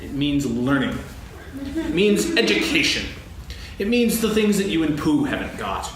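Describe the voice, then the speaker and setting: A man playing a grumbling, self-pitying storybook donkey in a staged reading, lecturing pompously with emphatic pauses. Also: on the high side, very animated. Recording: echoey stage, distant mic, faint noise.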